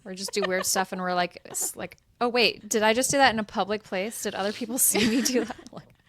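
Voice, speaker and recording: A young woman in her twenties co-hosting a casual podcast, chatty and bubbly, full of fillers and quick to laugh. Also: mid-range voice. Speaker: podcast co-host. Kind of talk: casual chat.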